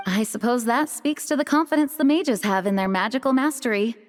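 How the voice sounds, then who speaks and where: A woman's voice: a little high, expressive, fairly quick, soft background music, natural voice. A woman voicing a dutiful, practical knight commander in an anime game, composed and businesslike.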